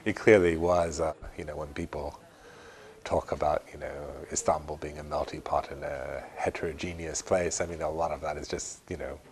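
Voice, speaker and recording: A man's voice: extremely low pitch, flat delivery, moderate pace, a little hiss or hum. An older man speaking reflectively in an interview, hesitant and rambling, often correcting himself. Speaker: interviewee, long-time resident.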